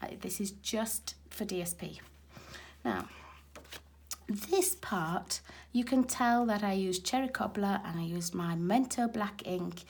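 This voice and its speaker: Hoarse woman demonstrating card making. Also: medium pitch. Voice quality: raspy. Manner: chatty and explanatory, talking while working with her hands. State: hoarse from a cold. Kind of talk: casual crafting tutorial. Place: craft table at home, filmed from overhead.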